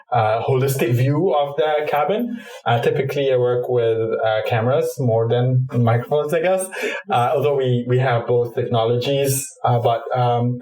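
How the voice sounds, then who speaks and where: A man's voice: medium pitch, a little mellow. Man in a roomy, distant recording. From Cairo, Egypt. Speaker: product manager, podcast guest. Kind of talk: interview answers, explanatory. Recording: roomy echo, distant from mic.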